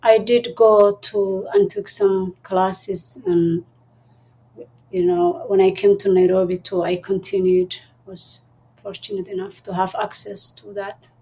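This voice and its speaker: A soft-spoken woman, her voice mellow and warm. She talks thoughtfully as an interview guest, pausing and restarting as she gathers her words. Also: mid-range voice, vocal fry, rough recording. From Somalia. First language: Somali. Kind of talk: interview conversation.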